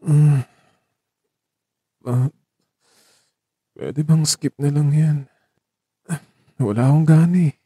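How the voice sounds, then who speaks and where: Man acting a tender ailing man, very mellow voice. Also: fairly high pitch, fairly even intonation, slow, long pauses, slightly rough, no echo, studio quality, heavily acted. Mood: reluctant, teasing. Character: ailing young man, tender, teasing, grateful to his companion. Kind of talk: Tagalog audio drama acting.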